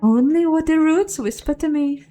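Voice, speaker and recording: A woman's voice: sits high, moderate pace, warm tone, soft background music, voice pushed up, natural voice. A woman voicing a weary, solitary old elf druid in a fantasy game, solemn and cryptic, with formal phrasing. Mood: cryptic, grave.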